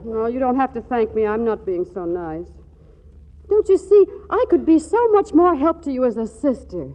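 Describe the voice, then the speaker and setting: An actress playing a restless, exasperated but loving young wife in a 1940s radio comedy, with fluttery, stammering delivery. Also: female voice, fairly high pitch, lively intonation, moderate pace, warm tone, lightly acted. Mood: fretful, insistent. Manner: flustered, fluttery, stammering repeats. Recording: dull 1940s radio broadcast recording.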